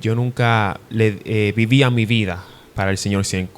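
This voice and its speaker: Young guy from the dominican republic, guest preacher, earnest, stumbles a bit, lowish voice. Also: steady tone, moderate pace. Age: young adult. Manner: earnest, hesitant with restarts. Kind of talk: testimony interview.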